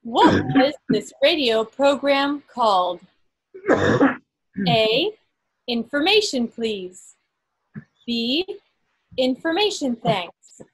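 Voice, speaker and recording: A woman acting as quizmaster, reading quiz questions aloud to an online group in a deliberate way, pausing between phrases. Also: fairly high pitch, sing-song, slightly rough, poor audio. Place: indoors on a group video call. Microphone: video call microphone.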